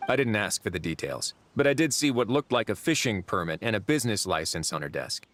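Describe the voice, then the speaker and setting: Composed duke, fairly low voice, wry, measured, authoritative, video game dialogue. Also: male voice, very animated, a bit bright, no room sound. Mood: matter-of-fact. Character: calm, dryly witty male duke in command.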